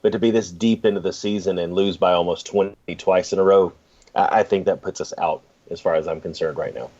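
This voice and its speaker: A man's voice: sits low, steady tone, a little fast, a little mellow. A man giving his casual opinion as a panelist on a sports talk show. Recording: dull, narrow-band lo-fi sound.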